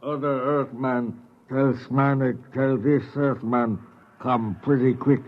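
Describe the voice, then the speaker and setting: A male actor's alien spider native, simple and wheedling, talks slowly in clipped pidgin English. It comes through a tinny, narrow old radio drama recording. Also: mid-range voice, fairly even intonation, close to an everyday voice. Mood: urgent. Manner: broken pidgin English in short clipped phrases. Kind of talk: 1950s radio drama acting.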